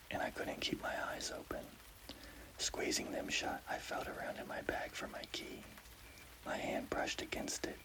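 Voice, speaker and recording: A man telling a scary story in a breathy, unvoiced ASMR whisper. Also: rough recording. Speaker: horror story narrator.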